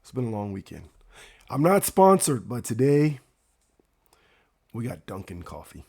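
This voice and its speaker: Raspy man chatting on a livestream, unhurried. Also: mid-range voice, big pitch swings, a little mellow. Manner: slangy, hyped, repeats phrases. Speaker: livestream host. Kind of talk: casual livestream chat.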